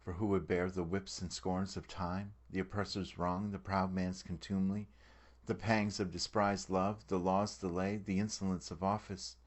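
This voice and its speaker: Man playing a deep-voiced brooding tragic prince. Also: flat delivery, normal speed, hardly any pauses. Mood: rising anguish. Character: brooding, world-weary tragic prince weighing death. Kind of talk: dramatic soliloquy reading, Shakespearean verse.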